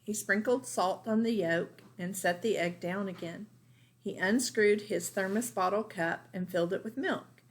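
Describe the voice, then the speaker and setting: Lady teacher doing an expressive storytime read-aloud for little kids, medium pitch. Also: unhurried. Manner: expressive, pointing out pictures to listeners.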